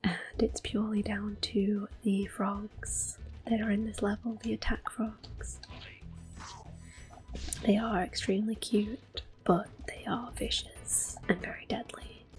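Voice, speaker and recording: Slow, whispering woman doing ASMR commentary. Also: mid-range voice, level tone, faint music behind. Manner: hushed, unhurried narration of own play. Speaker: ASMR gameplay host. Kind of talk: soft-spoken ASMR game commentary with whispering.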